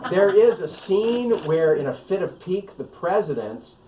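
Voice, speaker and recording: An American man, an author reading his own novel to a live gathering with off-script asides, in an animated, wry way. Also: high-pitched, normal speed, a crowd in the background. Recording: echoey room, distant mic, faint noise.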